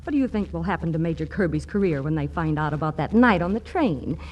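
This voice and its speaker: Casting: scheming female rival, 1940s radio comedy. Lowish, very animated, smug, sarcastic and menacing. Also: fast, lo-fi sound. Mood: smug, insinuating. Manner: sarcastic, pointed delivery. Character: scheming jealous young woman, smugly threatening, catty.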